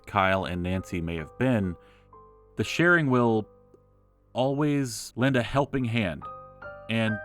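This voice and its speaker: Folksy male group leader played by an actor, polished reassurance, lowish voice, audio drama with clear music bed. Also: a bit bright. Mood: solemn, reassuring. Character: upbeat, folksy man leading a community group.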